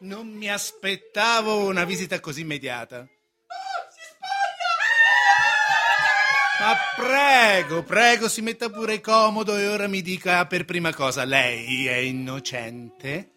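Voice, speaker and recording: An actor in a radio drama playing a garrulous, sly, self-important court painter, speaking in a theatrical, emphatic and insinuating way. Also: male voice, sits high, a little slow, hardly any pauses, background noise. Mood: knowing, probing.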